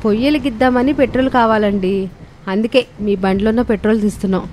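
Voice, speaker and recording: A woman's voice: on the high side, steady tone, fast, darkish tone, slight background noise, close to an everyday voice, poor audio. A woman voicing a silly, earnest young daughter-in-law in a cartoon family story. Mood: eager. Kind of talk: cartoon moral-story dialogue. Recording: music under the voice.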